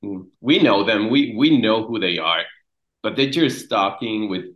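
A young man from Mexico talking casually as a podcast guest, halting and repeating words, trailing off quietly. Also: fairly low pitch, expressive, muffled recording. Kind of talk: casual conversation.

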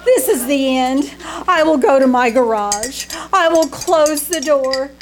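A woman's voice: sits high, animated, a little slow. A woman acting in an old-time radio comedy as a dizzy, self-dramatizing young Hollywood starlet with theatrical, mock-tragic flourishes. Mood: worried, despairing. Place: small-town theater stage, live performance. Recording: noisy live stage recording.

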